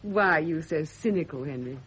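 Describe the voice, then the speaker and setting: Female for devoted, genteel spinster in radio play; breathy voice, lively intonation, earnest manner. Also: sits low, fairly quick, heavily acted. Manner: polite, earnest, hesitant. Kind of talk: classic radio drama acting. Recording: muffled old radio recording.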